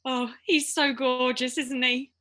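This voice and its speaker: A woman's voice: high voice, flat delivery, slow, sharp tone, slight background noise, heavily acted. A woman playing a breezy, lovestruck, cheeky young sister in a radio pantomime. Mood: swooning.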